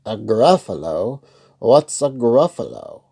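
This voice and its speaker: A man's voice: mid-range voice, sing-song, slow, creaky, warm tone, slightly rough, heavily acted. A man voicing a sly, hungry male fox in a children's story, drawing out each word.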